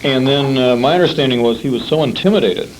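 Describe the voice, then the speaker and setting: A man conducting a conversational interview, putting his questions carefully and a little haltingly. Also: medium pitch. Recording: noisy amateur convention interview tape.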